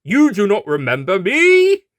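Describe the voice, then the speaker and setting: Man putting on a booming, pompous horse villain. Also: wildly swooping pitch, a little slow, dry recording, heavily acted. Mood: snarling. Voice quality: deep, booming. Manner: snarling, pompous, shouting. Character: large, vengeful, pompous male horse creature villain.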